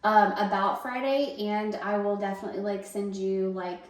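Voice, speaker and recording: A woman's voice: medium pitch, normal speed. A woman in her thirties chatting casually and effusively, like a vlogger. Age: 30s. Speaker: vlogger, amateur bodybuilding competitor. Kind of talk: casual, gushing chat. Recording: echoey room, distant mic, faint music.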